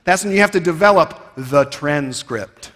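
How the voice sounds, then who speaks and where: A man in his thirties giving a keynote speech on stage, emphatic and rhetorical, swinging between loud and quiet phrases, on a headset mic. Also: a little high, animated, moderate pace. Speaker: keynote speaker. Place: stage of a large conference hall. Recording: reverberant large hall.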